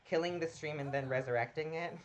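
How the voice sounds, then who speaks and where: A man's voice: a little high, fairly quick, slight background noise. A man casually commenting on a game he is playing live, chatting with viewers and greeting them by name, often laughing at himself. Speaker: streamer.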